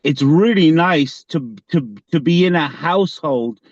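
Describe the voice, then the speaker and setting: A man, co-hosting a podcast in casual conversation, halting with many fillers and restarts. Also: fairly high pitch, expressive, no echo. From Iran. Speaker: podcast co-host. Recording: slightly dull lo-fi sound.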